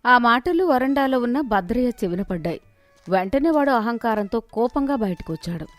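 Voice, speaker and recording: A woman giving scripted narration for an animated moral story in a steady storytelling manner. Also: on the high side, normal speed, soft background music.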